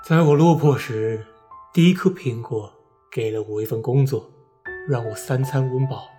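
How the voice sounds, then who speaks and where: A man's voice: mid-range voice, moderate pace, warm tone, close to an everyday voice. A man playing an ambitious, desperate businessman making a confession in a radio drama. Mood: solemn confession. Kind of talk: radio drama monologue and acting. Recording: music under the voice. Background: music.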